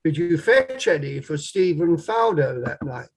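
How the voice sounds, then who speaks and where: Man playing a high-voiced, methodical inquiry lawyer. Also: very animated, unhurried. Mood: methodical, probing. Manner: formal, precise questioning. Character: male inquiry lawyer, methodical, formally probing. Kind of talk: table read of an inquiry play.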